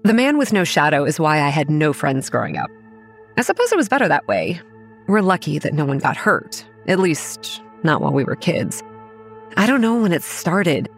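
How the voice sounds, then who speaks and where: A woman's voice: low-pitched, sing-song, normal speed. Grave, haunted young woman, music behind. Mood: wary, reflective. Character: haunted, wary young woman running a monster-ridden campground. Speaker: narrator and lead character. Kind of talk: first-person horror audio drama narration.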